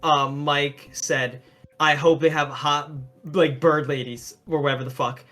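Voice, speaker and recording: A man's voice: fairly high pitch, fairly even intonation, a little slow, pushed and bright. A young man interviewing a team in crude, casual banter, laughing often and teasing.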